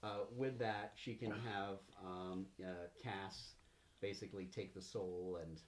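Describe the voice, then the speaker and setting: Halting low-voiced man running a tabletop game. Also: fairly even intonation, roomy sound. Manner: halting, improvising as he goes. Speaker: game master. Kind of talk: casual tabletop game narration.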